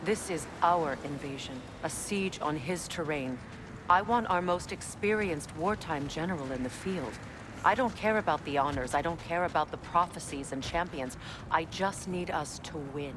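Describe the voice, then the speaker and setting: Woman doing a proud warrior queen, lowish steady voice, calm and commanding, noisy game audio. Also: natural voice. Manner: composed, measured and commanding. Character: proud warrior queen, weary goddess general. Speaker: video game character.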